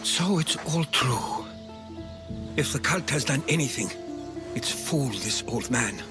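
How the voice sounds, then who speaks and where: A man's voice: mid-range voice, expressive, a little slow, lightly acted. An actor voicing a cautious, thoughtful old man in a video game drama, with music behind. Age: older. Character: old male historian companion, cautious, thoughtful, wary.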